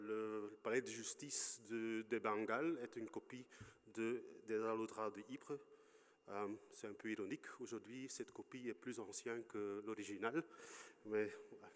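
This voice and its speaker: A Belgian man with a Flemish accent, a native Flemish speaker, giving an academic lecture with slides and often pausing to search for his words. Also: fairly low pitch, big-room sound. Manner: halting, searching for words, many fillers. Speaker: conference speaker.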